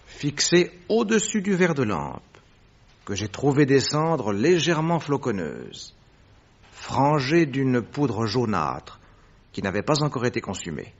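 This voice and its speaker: Analytical gentleman detective in a french radio play, precise, formal, dryly witty, mid-pitched, bit dull recording. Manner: deliberate, precise, formal phrasing. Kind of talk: French radio drama acting.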